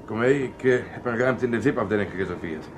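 A man's voice: lowish voice, fast talker, noisy background, lightly acted. A male actor playing a blunt, sceptical intelligence officer in a radio drama. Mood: expectant.

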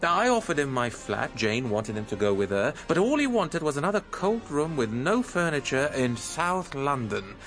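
A theatre impresario and loyal friend, recounts events in a radio drama. His delivery is measured and reflective. Music is clearly audible behind him. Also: a little high, sing-song, a bit bright. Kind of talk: radio drama narration and dialogue.

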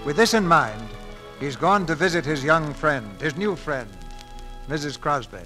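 A man narrating a 1940s radio drama in a scripted, measured storytelling manner. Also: a little high, expressive, bright, forceful tone. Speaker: host and narrator. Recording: vintage 1940s radio, music behind.

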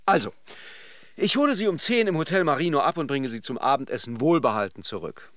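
Radio drama lover: teasing, reflective charmer, highly animated delivery, dry sound. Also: male voice, a little fast, dry recording. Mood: teasing, flirtatious. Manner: teasing and joking, musing when alone. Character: playful, flirtatious Russian philanderer, charming but privately reflective. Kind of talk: radio drama acting in intimate dialogue and monologue.

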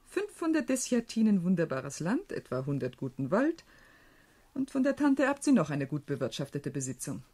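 A shrewd, worldly widow in a German radio drama. Her husky, mellow voice sits on the low side, and she speaks with deliberate, proud self-possession. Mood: probing, smooth. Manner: deliberate, expressive, philosophizing. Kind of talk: German radio play acting.

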